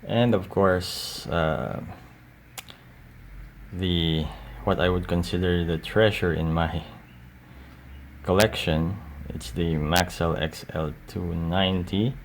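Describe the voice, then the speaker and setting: Slow, deep-voiced man with creaky delivery. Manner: hesitant, halting, unscripted. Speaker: collector showing cassette tapes. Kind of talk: casual show-and-tell narration. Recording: audible steady background noise.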